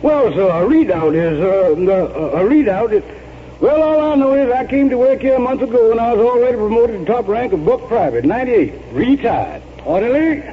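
Very high-voiced Southern orderly. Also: male voice, lively intonation, normal speed. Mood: flustered. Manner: folksy, deferential. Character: earnest, folksy, deferential household orderly. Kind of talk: 1940s radio comic acting. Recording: tinny, noisy 1940s radio recording.

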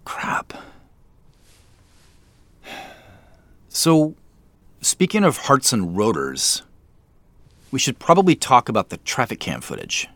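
A man's voice: mid-range voice, huge pitch swings, a little slow, fairly bright tone, no echo, heavily acted. A man playing a casual, probing detective in a naturalistic audio drama, dry and inquisitive. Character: dry, inquisitive male detective working a case.

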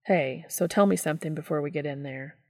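A woman reading a story aloud and voicing a calm, confident young man with an easygoing, self-assured way of talking. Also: sits low, a little fast, no echo, clean studio sound, voice pushed down.

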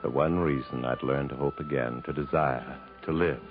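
A deep-voiced, earnest, world-weary Englishman. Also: fairly even intonation. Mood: wistful, reflective. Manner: dramatic, measured, earnest. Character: earnest, world-weary Englishman narrating his jungle journey. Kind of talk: 1950s radio drama acting and narration. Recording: muffled 1950s radio broadcast, faint music.

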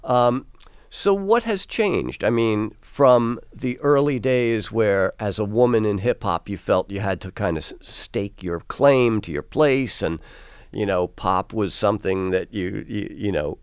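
Deep, smooth-voiced male interviewer. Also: animated, a little slow, no echo, clean studio sound. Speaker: interviewer, radio host. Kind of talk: conversational interview.

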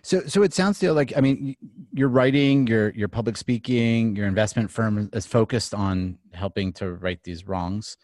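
A man's voice: lowish voice, moderate pace, darkish tone, no echo. A man hosting an interview who asks long, meandering questions full of restarts. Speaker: interview host.

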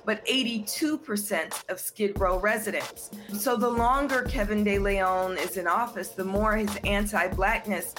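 A woman's voice: mid-range voice, measured pace, hardly any pauses, music in the background. A woman giving a pointed, argumentative interview soundbite.

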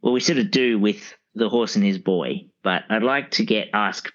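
A man talking casually as a podcast guest, in halting, hesitant phrases. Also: lowish voice, a bit bright, lo-fi sound. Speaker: podcast guest, audiobook expert. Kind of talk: casual conversation.

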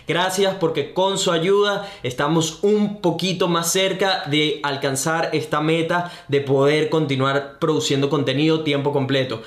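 A young Venezuelan man with a Venezuelan accent, hosting a podcast in an energetic, enthusiastic, slangy way. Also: on the high side. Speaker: podcast host. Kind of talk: upbeat podcast intro and interview. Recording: roomy echo.

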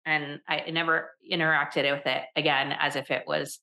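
A middle-aged woman talking candidly as a guest on a podcast interview, animated and quick to laugh, often repeating words as she restarts a thought. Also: sits low, gravelly. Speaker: podcast guest, leadership coach. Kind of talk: conversational interview.

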